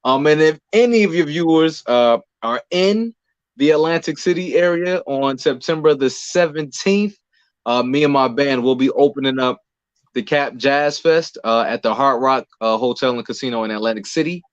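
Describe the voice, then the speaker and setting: A man's voice: mid-range voice, moderate pace, dry recording. A man answering interview questions as a guest in a casual, conversational style. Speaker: interview guest, performer and writer. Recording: dull lo-fi dry audio.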